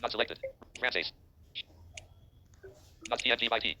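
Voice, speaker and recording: A man's voice: medium pitch, very slow, bright, forceful tone, slight background noise. A synthetic man's voice working as a phone screen reader, mechanically reading out interface labels in clipped phrases.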